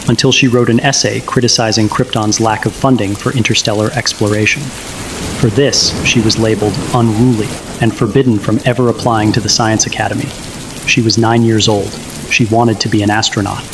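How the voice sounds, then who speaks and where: A man's voice: medium pitch, close to an everyday voice. An actor playing a solemn alien son narrating the story of his doomed world in dramatic scripted style.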